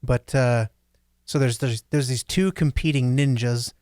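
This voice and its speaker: A man's voice: medium pitch, measured pace, a little mellow, no room sound, clean studio sound. A man chatting in a casual, joking way as a panelist on a podcast.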